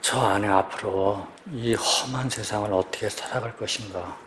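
A man in his fifties, a police officer, giving a quiet, halting personal testimony in church and asking for prayer. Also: fairly low pitch, slight background noise. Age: 50s. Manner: quiet, halting, searching for words.

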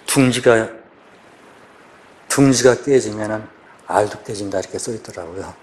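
A man in his fifties, a police officer, giving a quiet, halting personal testimony in church and asking for prayer. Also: on the low side, a little hiss or hum. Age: 50s. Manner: quiet, halting, searching for words.

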